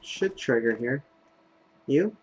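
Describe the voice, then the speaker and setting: A man's voice: mid-range voice, expressive, slow pace, a little mellow, dull audio, a little hiss or hum. A man giving casual, rambling commentary as he plays through a video game. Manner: rambling, offhand. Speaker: gaming video host. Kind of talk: casual let's play commentary.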